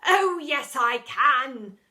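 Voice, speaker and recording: A woman voicing a booming, bellowing old deputy headmistress who has gone wild. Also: animated, extremely slow, bright, forceful tone, exaggerated voice. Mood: defiant.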